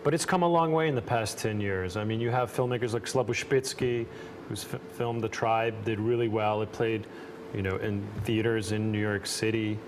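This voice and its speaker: A man from the United States, a guest on a talk show, speaking about film in a relaxed, hesitant way with many fillers. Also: on the low side, normal speed, slight background noise. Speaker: guest, film festival program director. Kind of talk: casual interview talk.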